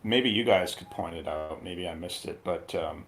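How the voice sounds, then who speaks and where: A man reviewing a film in casual radio chat, halting as he searches for words. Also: low-pitched, fairly bright tone, a little hiss or hum.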